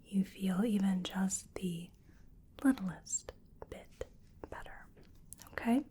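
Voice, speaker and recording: An American woman doing a soft-spoken ASMR roleplay as a wellness practitioner, whispering gently and soothingly in a breathy voice. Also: mid-range voice, slight background noise. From US Midwest. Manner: gentle, soothing personal attention, unhurried with pauses. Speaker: ASMR creator playing a wellness treatment practitioner.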